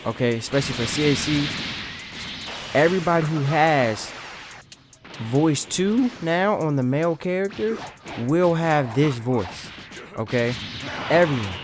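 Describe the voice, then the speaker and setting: A man's voice: a little high, animated, a little slow, pauses often, music in the background. A man narrating a step-by-step gaming tutorial in an instructional way, often checking in with the listener as he goes.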